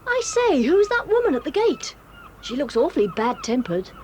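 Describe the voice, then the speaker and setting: A woman's voice: sits high, big pitch swings, moderate pace, background noise. An actress voicing a cheerful, eager boy cousin in an audio drama, talking in a chatty way. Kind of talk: 1980s audio drama acting.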